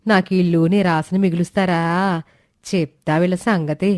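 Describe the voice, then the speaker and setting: A woman's voice: fairly low pitch, fairly even intonation, moderate pace, darkish tone, dry recording, clean studio sound, close to an everyday voice. A woman voicing a scheming, envious middle-aged aunt who stirs up quarrels in a family story. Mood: spiteful.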